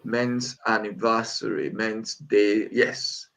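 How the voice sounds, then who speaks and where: A man from Africa speaking as a guest on a church panel, talking hesitantly with many pauses and fillers. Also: fairly low pitch, steady tone, gravelly, fairly bright tone. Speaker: panel guest, lay preacher.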